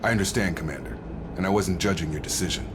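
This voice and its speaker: A man playing a low-voiced easygoing operative. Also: fast talker, background noise, close to an everyday voice. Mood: earnest. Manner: relaxed, informal, supportive. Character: loyal male operative, easygoing and earnest. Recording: game audio with music score.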